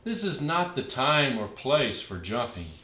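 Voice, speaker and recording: A man doing a measured, patient lady schoolteacher. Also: mid-range voice, sing-song, roomy sound, noisy background. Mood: firm. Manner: measured, instructive. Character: patient, orderly woman schoolteacher. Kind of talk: children's storybook character voice.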